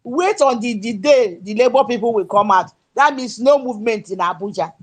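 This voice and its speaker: A Nigerian woman giving an impassioned political speech in an audio chat room, emphatic and at times shouting. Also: on the high side, expressive, a little fast. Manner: emphatic, rises to shouts, pidgin-tinged. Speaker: speaker in a political audio space.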